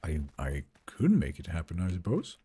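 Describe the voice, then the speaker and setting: Swedish male streamer, easygoing and chatty, animated pitch, mellow tone. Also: normal speed, slightly rough. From Sweden. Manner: relaxed, chatty, answers chat questions. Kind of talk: live gameplay stream, chatting with viewers.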